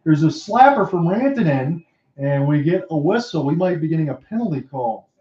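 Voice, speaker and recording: Guy doing hockey play by play, mellow voice a bit high, gets loud and fast on chances, echoey lo-fi mic. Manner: rapid excited calls that rise sharply on chances. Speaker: play-by-play announcer. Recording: dull lo-fi roomy home mic.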